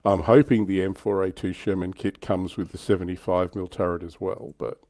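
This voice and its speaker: Male hobby streamer, low-pitched, close dry sound, conversational panellist delivery. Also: steady tone, normal speed, darkish tone, no room sound. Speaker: livestream panellist on a tabletop wargaming show. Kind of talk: casual hobby discussion.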